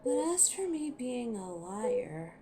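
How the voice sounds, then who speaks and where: A woman's voice: fairly high pitch, very animated, slow, warm tone, slight background noise. A woman voicing a cocky, ancient werewolf in an audio roleplay, teasing and menacing with a sardonic, impatient manner. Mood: wry, explaining. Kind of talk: scripted audio roleplay monologue to a listener.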